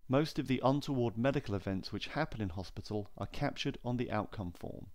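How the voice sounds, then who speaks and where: A man narrating a scripted training film in a measured, formal, clearly enunciated way. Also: mid-range voice, darkish tone.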